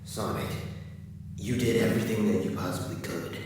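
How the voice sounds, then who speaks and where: Man as low, subdued confidant in echoing hall. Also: fairly even intonation, moderate pace, close to an everyday voice. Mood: subdued, consoling. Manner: subdued, reassuring. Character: Shadow, low-voiced male confidant consoling a friend. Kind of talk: audio drama dialogue. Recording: echoey hall, distant mic, noisy.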